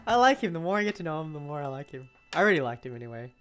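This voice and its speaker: Very deep-voiced woman reacting to a game. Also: sing-song, racing. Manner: chatty, informal. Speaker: gaming streamer. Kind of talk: casual reaction commentary on a game. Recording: game music behind the voice.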